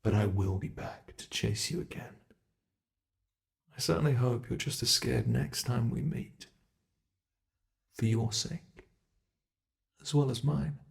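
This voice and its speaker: A man playing a teasing, predatory monster in an intimate ASMR roleplay, speaking with playful menace and savored pauses, sometimes dropping toward a whisper. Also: medium pitch, vocal fry. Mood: gloating menace. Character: teasing predatory male monster who hunts humans.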